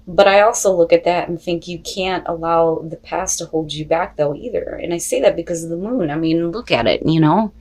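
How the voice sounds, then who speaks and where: Low-voiced woman giving a casual tarot reading. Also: vocal fry. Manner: conversational and expressive, with frequent swearing and rhetorical questions. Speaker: tarot reader and host. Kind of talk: casual, unscripted tarot reading addressed to viewers.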